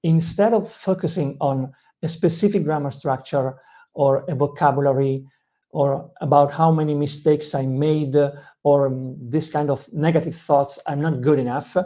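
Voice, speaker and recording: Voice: medium pitch, warm tone, dull audio. A speaker with an Italian accent, Italian by first language, giving an earnest motivational English lesson with frequent hesitations and self-corrections. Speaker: English-learning channel host. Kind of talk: motivational teaching talk.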